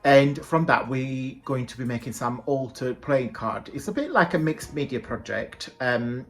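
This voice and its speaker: A man with an Italian accent talks his viewers through a messy craft project in a loose, chatty way. His voice is croaky, and he often wanders off and corrects himself. His pitch sits in an ordinary middle range. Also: measured pace. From southern Italy. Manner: rambling, chatty, self-correcting. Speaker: craft tutorial host.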